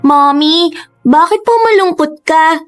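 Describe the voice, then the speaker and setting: A woman's voice: extremely high pitch, takes it slowly. A woman voicing a sweet, polite little boy in a radio drama. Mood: sweet, hopeful. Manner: polite, childlike. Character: earnest, sweet little boy pleading with parents. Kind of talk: Tagalog radio drama acting. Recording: music under the voice.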